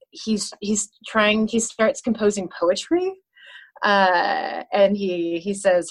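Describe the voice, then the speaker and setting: Woman w lowish voice on a casual book chat, deliberate, pauses while explaining. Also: low-quality recording. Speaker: book discussion panelist. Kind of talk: casual literary discussion.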